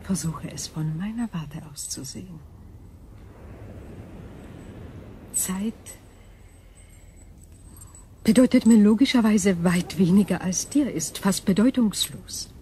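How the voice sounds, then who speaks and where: An actress playing an ancient, calm and probing crystal being in a radio drama, speaking in a measured, formal, breathy way. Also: female voice, medium pitch, slight background noise, natural voice. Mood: reflective, cool. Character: ancient female super-crystal intelligence, calm, probing, wary.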